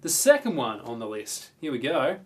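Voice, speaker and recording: Casting: Australian male guitar instructor, mid-pitched, casual and keen, talking around loud guitar playing. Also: very animated, moderate pace. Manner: informal, chatty, enthusiastic. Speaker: guitar teacher, presenter. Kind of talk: casual video guitar lesson. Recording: guitar playing prominent alongside the voice. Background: acoustic or electric guitar strumming.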